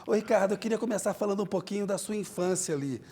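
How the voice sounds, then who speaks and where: A man's voice: high voice, rapid-fire, a little mellow, breathy edge. A man with a Brazilian accent hosting a TV interview, asking his guest friendly, prompting questions. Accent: Brazilian Portuguese. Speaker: TV interview host.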